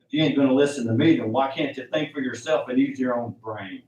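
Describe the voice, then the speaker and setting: A man's voice: medium pitch, fast, darkish tone, lightly acted. A man playing a bitterly angry father in an amateur radio drama, scolding in folksy rural phrases. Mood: scornful, angry. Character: harsh, bitterly angry father berating his teenage son. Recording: dull, roomy, distant, faint hiss.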